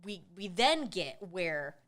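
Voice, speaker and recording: A woman's voice: fairly low pitch, big pitch swings, slow pace, fairly bright tone. A young adult woman co-hosting a podcast, chatting casually and giggling as she retells movie scenes. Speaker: podcast co-host. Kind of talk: casual movie-recap chat.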